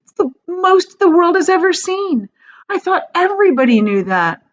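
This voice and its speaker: A woman's voice: extremely high pitch, big pitch swings, normal speed, dull audio, pitched above the performer's own voice. A woman voicing an eager, emphatic ninety-six-year-old grandfather in a children's storybook read aloud. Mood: excited, amazed.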